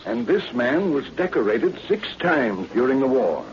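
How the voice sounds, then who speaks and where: Self-assured American syndicate boss, businesslike, swinging intonation, 1940s radio play, dull recording. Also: male voice, medium pitch, sing-song, measured pace. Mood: confident, insistent. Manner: emphatic, businesslike. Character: persuasive, self-assured male news syndicate president. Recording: muffled vintage radio recording with hiss.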